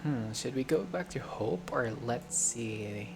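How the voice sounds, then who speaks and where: A game streamer with a mid-pitched voice reads visual novel narration aloud over clearly audible music. The delivery is expressive, slipping between storytelling and casual, chatty commentary. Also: takes it slowly. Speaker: gaming content creator reading a visual novel aloud. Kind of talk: playthrough narration with casual reactions. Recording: music behind the voice.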